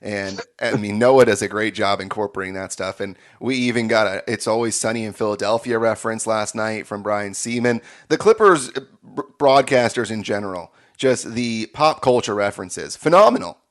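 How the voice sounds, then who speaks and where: A man hosting a casual sports podcast, energetic and quick to laugh. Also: on the low side. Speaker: podcast host.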